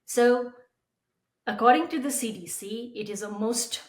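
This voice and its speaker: A woman's voice: fairly high pitch, a little slow. A woman from Nepal with a Nepali accent, explaining as an expert guest in an interview. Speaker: periodontist guest. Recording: roomy, echoey sound.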